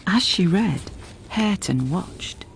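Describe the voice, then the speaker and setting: An actress playing a level-headed housekeeper who narrates a gothic radio drama in a measured, grave, reflective way. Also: female voice, fairly low pitch, animated, creaky, a little hiss or hum, heavily acted. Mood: hushed, observant.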